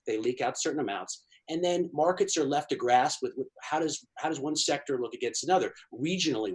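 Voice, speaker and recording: A man's voice: fairly high pitch, a little mellow. A middle-aged man from Washington, D.C., giving long, fluent, emphatic answers as an interview guest. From Washington, D.C., USA. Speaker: guest, China economy analyst.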